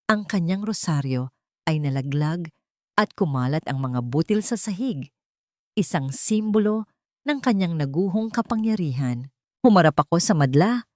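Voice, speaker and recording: Low-voiced Filipino woman telling a dramatic story. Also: a little mellow, no echo, clean studio sound. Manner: rises in pitch and volume for quoted dialogue. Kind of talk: dramatic first-person audio story narration.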